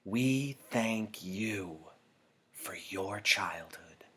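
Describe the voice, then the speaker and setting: Storybook chief elder, a grave, authoritative woman played by a man, low voice, formal measured address. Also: expressive, pushed and bright, slightly rough, full character voice. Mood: solemn, ceremonial. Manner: formal, deliberate, ceremonial. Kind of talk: audiobook character dialogue.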